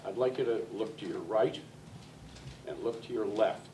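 An American man in his sixties making a deliberate, rhetorical public comment, addressing his listeners directly. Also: fairly low pitch, roomy sound. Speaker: grandparent speaking from the audience. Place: school library, public meeting. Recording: distant mic, noisy room.

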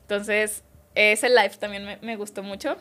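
A woman, a guest on an interview podcast, telling work stories in a lively, chatty way. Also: medium pitch, a little slow. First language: Spanish. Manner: lively storytelling, quotes others' words. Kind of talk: casual interview chat.

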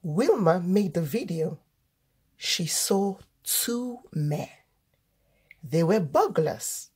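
A woman reading a children's storybook aloud, deliberately, word by word, as the host of a read-aloud. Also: lowish voice, lively intonation, a little mellow, breathy edge. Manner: deliberate, word-by-word delivery for early readers.

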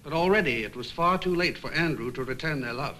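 An actor playing an articulate British psychiatric nurse, gravely narrating a case history in a 1950s film. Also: male voice, expressive, sharp tone, slight background noise, rough recording. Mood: grave, explanatory. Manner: measured, grave, emphatic. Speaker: hospital staff member recounting a case history. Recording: faintly rumbling 1950s film soundtrack.

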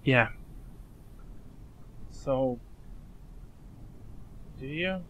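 A man's voice: mid-range voice, fairly bright tone, a little hiss or hum. A man playing an awkward, apologetic young man who stammers and evades, in fan dub drama dialogue. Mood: uneasy, pleading. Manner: halting, stammering, evasive.

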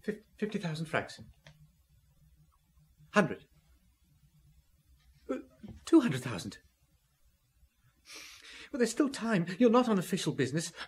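An actor playing a nervous, pleading businessman in a radio drama, speaking haltingly. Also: high-pitched, big pitch swings, exaggerated voice. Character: frightened, pleading businessman offering a bribe. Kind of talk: old radio drama acting.

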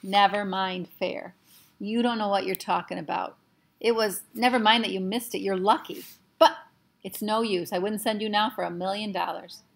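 A woman's voice: mid-range voice, steady tone, normal speed, vocal fry. A woman voicing a shaken but firm young boy speaking soberly in a storybook reading.

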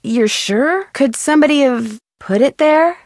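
A youthful, fairly high female voice for a polite, inquisitive amateur sleuth in an adventure game. She is friendly and questioning, enunciating clearly. The sound is dry and close. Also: expressive, a little slow, darkish tone, no echo. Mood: curious. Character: inquisitive, polite young woman amateur detective. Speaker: video game voice actor. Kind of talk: scripted video game dialogue.

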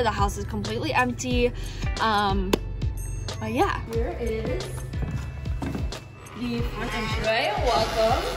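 A woman in her twenties chatting casually and self-consciously through a vlog tour, with plenty of fillers. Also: on the high side. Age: 20s. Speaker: vlogger. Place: car, then empty house with bare rooms. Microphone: camera mic, from across the room. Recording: echoey empty rooms, distant mic, background music.

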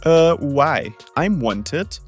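A man's voice: a little high, sing-song, drawn-out words, faint music behind, heavily acted. A young man voicing a popular teenage boy who is nervous and stammers a lot, juggling two dates. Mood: flustered. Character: popular teen boy, flustered two-timer. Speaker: voice actor in a fan-made game drama.

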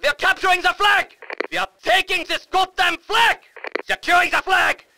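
A man's voice: very high voice, fairly even intonation, normal speed, gravelly, background noise. A man playing a fervent German army soldier in a war video game, barking urgent, clipped battle announcements. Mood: urgent, commanding. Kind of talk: shouted combat announcements.

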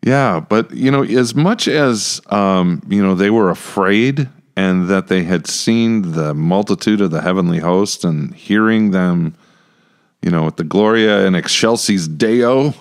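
A middle-aged American man co-hosting a casual podcast, chatty, halting and repeating words as he goes. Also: deep voice, smooth. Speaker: podcast co-host, church pastor. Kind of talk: casual Bible discussion podcast. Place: small indoor room with acoustic panels. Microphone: close podcast microphone with headphones.

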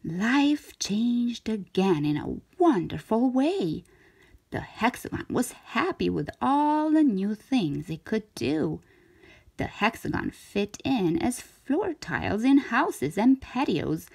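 A woman narrates a picture book for young children in a fairly high voice with a slightly breathy edge. She phrases deliberately and dramatically, with a lively rise and fall. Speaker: children's storybook reader. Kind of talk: expressive scripted read-aloud narration.

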